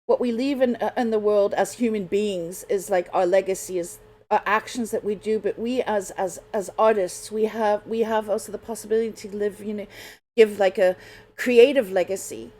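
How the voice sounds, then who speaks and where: A middle-aged woman talks as a radio host at a medium pitch. Her delivery is casual and unscripted, wandering along and repeating words while she works out what to say. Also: steady tone, moderate pace, dark tone. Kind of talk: casual chat.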